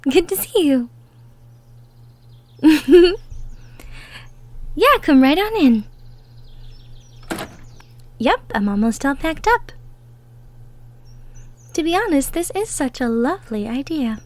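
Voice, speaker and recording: A woman playing a cheerful, gentle young woman from the woods in an intimate roleplay audio drama, with a sweet, folksy manner. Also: sits high, sing-song, slow, long pauses, full character voice. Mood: cheerful welcoming.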